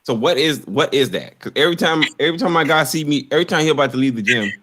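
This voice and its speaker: A man's voice: on the high side, lively intonation, racing, fairly bright tone. A man hosting a live podcast over a video call, talking loosely and repeating himself as he rambles. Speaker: podcast host. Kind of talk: casual live-stream chat.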